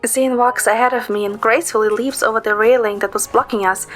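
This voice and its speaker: A woman voices a young woman telling her own story in an audio drama. The delivery is composed and descriptive, in a fairly high voice with music underneath. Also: steady tone, fairly quick, fairly bright tone, close to an everyday voice. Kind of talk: first-person scripted story narration for audio drama.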